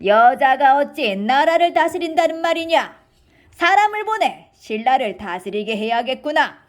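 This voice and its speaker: A woman's voice: high voice, sing-song, a little slow. A woman voicing a haughty, scornful emperor in a children's storybook, with an imperious, archaic royal way of speaking. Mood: scornful, indignant. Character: haughty Tang emperor, scornful adult man ruler.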